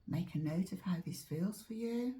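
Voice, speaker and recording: A woman guiding a meditation in a soft, often whispered voice, slow and drawn-out, a little chesty as she is losing her voice. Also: mid-range voice, expressive. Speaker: meditation teacher. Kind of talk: guided meditation.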